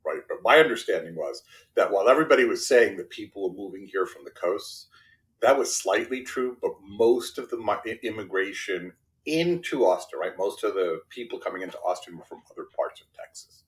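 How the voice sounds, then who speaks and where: A deep-voiced man in his fifties, raised in Brooklyn, with Spanish as his first language. He talks casually as a podcast guest. He is self-deprecating and keeps checking in with short tag questions. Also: expressive, a little fast, poor audio. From Brooklyn, New York. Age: 50s. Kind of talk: casual interview conversation.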